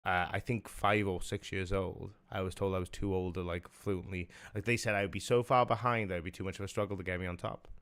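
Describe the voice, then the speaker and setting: A man's voice: low voice, fairly even intonation, quick pace, few pauses, fairly bright tone, clear, resonant tone. A young man with a South Welsh accent, hosting a live stream and chatting in a rambling way, swearing and restarting often. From South Wales. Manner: rambling, swears a lot, many fillers and restarts. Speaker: stream host. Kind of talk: casual chat on a live stream.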